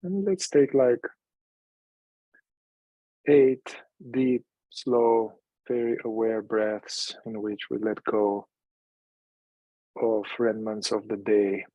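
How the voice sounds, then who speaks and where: A man leading a guided meditation in a calm, unhurried manner, leaving long pauses between phrases. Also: lowish voice, dry recording, low-quality recording.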